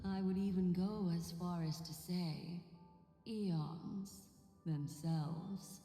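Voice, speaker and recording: A woman voicing a composed, thoughtful female character in a game story, reasoning in a deliberate, analytical way. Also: fairly low pitch, steady tone, warm tone. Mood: calm, analytical. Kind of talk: scripted game story voice acting. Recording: long hall reverb, music behind.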